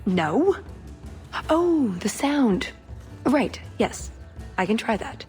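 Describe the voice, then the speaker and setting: A woman playing a playful, quick-witted young elf adventurer in a scripted fantasy game. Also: on the high side, big pitch swings, a little mellow, soft background music, exaggerated voice. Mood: thoughtful.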